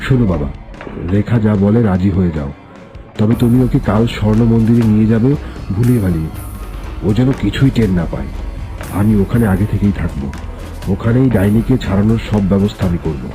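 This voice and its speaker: An actor playing a stern, protective older sorcerer in a cartoon, speaking in a firm, threatening, commanding way. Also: male voice, on the low side, fairly quick, pauses often, music in the background, close to an everyday voice. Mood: firm counsel.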